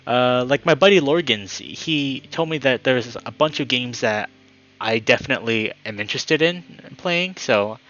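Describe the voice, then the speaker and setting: A man's voice: medium pitch, moderate pace, muffled recording. A man speaking as a live streamer, moving between friendly chat and reading a story aloud. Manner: upbeat, friendly, excitable when greeting viewers.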